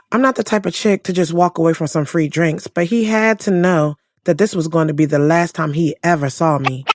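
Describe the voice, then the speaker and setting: A woman's voice: lowish voice, darkish tone, dry recording, clean studio sound, lightly acted. A woman playing a frank, sassy young single woman in an audio drama, conversational, slangy and wry. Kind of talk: first-person audio drama narration and dialogue.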